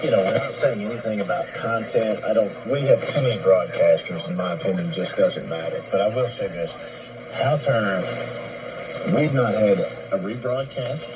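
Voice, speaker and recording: Low-voiced man on noisy radio. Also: normal speed. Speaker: radio show host. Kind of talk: casual radio station talk. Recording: noisy shortwave radio broadcast.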